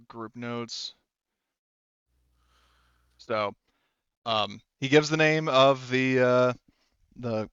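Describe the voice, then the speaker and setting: A man running a tabletop roleplaying game, improvising his narration in a casual, halting, sweary way. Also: mid-range voice, gravelly, a bit bright, clean tone, no room sound, lo-fi sound. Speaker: game master.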